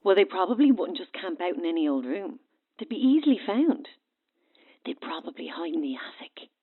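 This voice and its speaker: An actress in a horror audio drama playing a worried, insistent friend, speaking firmly and urgently through a telephone filter. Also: female voice, fairly low pitch, sing-song, moderate pace, breathy edge, heavily acted. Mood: worried, sceptical. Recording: thin, band-limited phone line.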